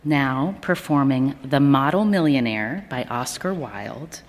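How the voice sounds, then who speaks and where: A woman's voice: very deep voice, animated, takes it slowly. A woman giving a stage introduction as announcer at a live event, in an echoey hall. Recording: echoey hall PA with audience noise.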